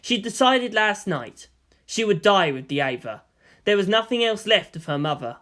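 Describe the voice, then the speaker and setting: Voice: mid-range voice, sing-song, moderate pace, fairly bright tone. A narrator giving a dramatic scripted reading of a story.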